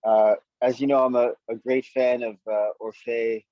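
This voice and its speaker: A man hosting an online poetry reading, giving spontaneous, halting and appreciative commentary in muffled audio. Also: medium pitch, level tone, dry recording.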